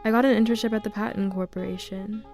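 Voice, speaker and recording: A woman's voice: medium pitch, steady tone, quick pace, a little mellow, soft background music, close to an everyday voice. A young woman playing a wealthy, entitled student girlfriend in an amateur radio drama, with a pushy, hurt manner. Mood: eager, pleading.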